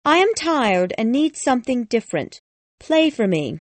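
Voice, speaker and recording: A man voicing an eerie, proud and bitter old jilted bride in a high, strained falsetto, slow and haunting. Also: big pitch swings, no echo. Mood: wistful. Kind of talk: audiobook reading.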